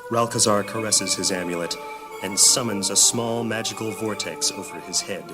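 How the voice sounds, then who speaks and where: A man's voice: on the low side, moderate pace, over music. A man delivering dramatic scripted narration for a fantasy audio drama.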